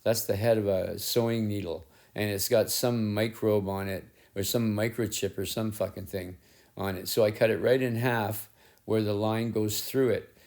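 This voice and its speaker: A middle-aged man with a rough, raspy voice that sits somewhat low. He talks casually and haltingly, restarting words and poking fun at himself. It sounds like a painter narrating his own studio work through a head-mounted camera mic. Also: fairly even intonation, a little mellow. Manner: rambling, self-deprecating, halting with restarts. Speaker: collage artist and painter. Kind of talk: casual narration while working. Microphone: head-mounted action camera.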